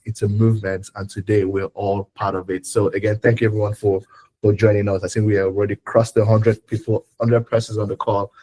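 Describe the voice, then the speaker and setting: A man's voice: lowish voice, darkish tone, poor audio. A man hosting an online launch event, introducing speakers in a loose, chatty way with frequent fillers. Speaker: event host.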